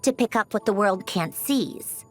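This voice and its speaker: An actress voicing a composed, refined young woman in a game, gently haughty and reflective, with formal, measured, eloquent diction. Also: mid-range voice, expressive, faint music behind. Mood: wistful, earnest. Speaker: voiced game character. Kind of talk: scripted character voice lines.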